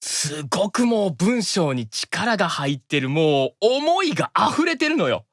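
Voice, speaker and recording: A man's voice: high voice, big pitch swings, a little slow, a bit bright, no room sound, studio quality. A man chatting casually as a podcast guest, playful and effusive.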